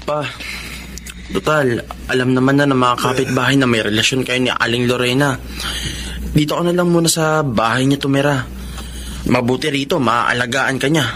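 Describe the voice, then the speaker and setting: An actor playing a casual, familiar grown son in a radio drama. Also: male voice, medium pitch, fairly even intonation, normal speed, long pauses, fairly bright tone, a little hiss or hum, close to an everyday voice. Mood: concerned. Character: breezy, teasing, thrifty grown son of an old man.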